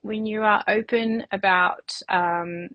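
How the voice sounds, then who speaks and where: A woman's voice: medium pitch, steady tone, takes it slowly, dull audio. An Australian woman who owns a women's health practice, talking earnestly in a casual live-stream interview, with many restarts and fillers. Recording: video call, remote.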